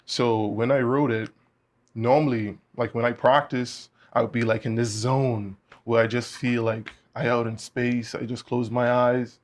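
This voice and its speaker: A young man from the Bahamas, a guest musician talking in a relaxed way, with many fillers. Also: medium pitch, a little slow. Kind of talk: casual interview chat.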